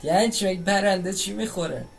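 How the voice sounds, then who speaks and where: A man comments casually on a game as he plays. His voice is a bit high for a man, gravelly and very quick, with loud music behind him. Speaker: game streamer. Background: video game music and dialogue.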